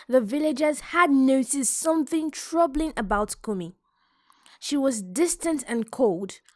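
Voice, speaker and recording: A woman narrating a folktale in a calm, measured, scripted reading. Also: a little high, no echo, studio quality. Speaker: storyteller.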